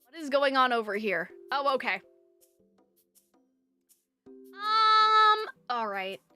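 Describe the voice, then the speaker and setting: A woman's voice: high-pitched, very animated, slow pace, pushed and bright, clear, resonant tone, faint music behind. A young adult woman streamer in casual chat, rambling with fillers and restarts, her voice dry from lack of water.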